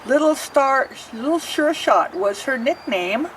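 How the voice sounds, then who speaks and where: A woman's voice: high-pitched, expressive, measured pace, distant mic, slight background noise, poor audio. Woman reading a picture book from afar. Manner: sing-song rhyming reading with asides explaining pictures. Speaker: storybook reader.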